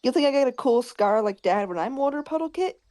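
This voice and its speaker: A woman playing an eager, boastful little warrior kitten in a roleplay, with excitable childlike delivery. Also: high-pitched, a little fast, no echo. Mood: excited.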